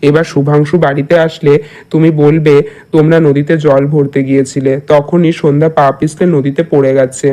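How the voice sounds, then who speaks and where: A man acts out a middle-aged village father from a cartoon folk tale. He is stubborn and scheming, with a fairly high voice that stays very even and a soft, dark tone. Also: fairly quick, close to an everyday voice. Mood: scheming, resolute. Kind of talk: Bengali moral-tale cartoon dubbing.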